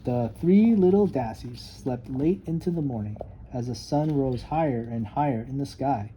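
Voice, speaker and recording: A man reading a children's story aloud with expressive, emphatic narration. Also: mid-range voice, moderate pace, slight background noise, rough recording. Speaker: storybook reader.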